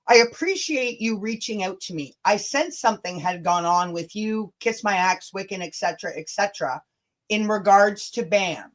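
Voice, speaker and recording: A woman from Canada hosting a livestream, chatting casually and reading emails aloud with a blunt, sweary, sarcastic delivery. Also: medium pitch. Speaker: livestream host. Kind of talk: livestream chat mixed with dramatic reading of emails.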